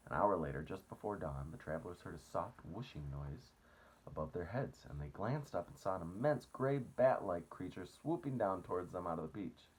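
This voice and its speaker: A man reading a children's book aloud as expressive storybook narration. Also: deep voice, moderate pace, husky, poor audio.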